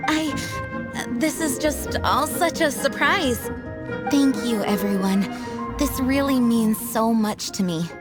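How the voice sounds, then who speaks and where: Casting: gracious young female knight commander in a game, slightly high voice, formal, earnest, music underneath. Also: slow pace. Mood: touched, flustered. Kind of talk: video game voice acting.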